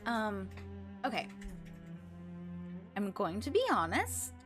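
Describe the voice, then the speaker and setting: A woman's voice: mid-range voice, big pitch swings, takes it slowly, over music. A woman chatting casually on a live game stream, musing aloud to herself and her viewers.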